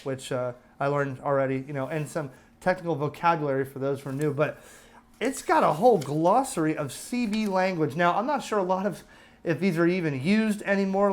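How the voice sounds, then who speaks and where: An American man giving a casual, unscripted gadget review, chatting and explaining as he goes and often checking in with the listener. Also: on the high side. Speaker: product reviewer.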